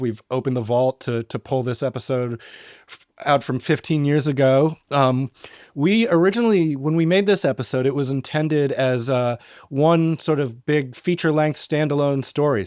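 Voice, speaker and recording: A man's voice: mid-range voice, a little mellow, no echo, clean studio sound. A man casually introducing an episode as a podcast host, talking off the cuff. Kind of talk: casual scripted-free intro chat.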